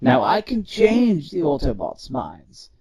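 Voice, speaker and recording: A man playing a measured, persuasive robot activist leader in an audio drama, earnest and deliberate. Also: a little high, huge pitch swings, vocal fry, darkish tone, husky, exaggerated voice. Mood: pleading.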